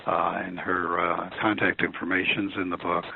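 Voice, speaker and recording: A man with a very low, gravelly voice talks calmly and in a measured way as a guest on a radio interview. He pauses with fillers and restarts his sentences. His voice comes through a tinny phone line with faint hiss behind it. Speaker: author and guest.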